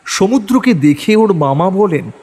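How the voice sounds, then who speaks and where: A male narrator tells a dramatic horror story from a script. His voice is fairly high and husky, with a long reverb trailing it. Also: expressive, unhurried, warm tone.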